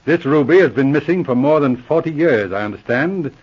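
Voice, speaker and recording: A dry-witted Mountie sergeant. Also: male voice, on the high side, animated, brisk, slightly rough. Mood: dry, patient. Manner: patient, dryly teasing. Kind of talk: 1940s radio acting. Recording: dull lo-fi old radio broadcast.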